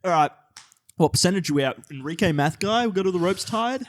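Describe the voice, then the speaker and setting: A man's voice: high-pitched, lively intonation, fast talker. A man with an Australian accent improvising a comedy scene on a podcast, talking awkwardly with many hesitations and fillers. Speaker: podcast co-host. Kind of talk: improvised comedy role-play.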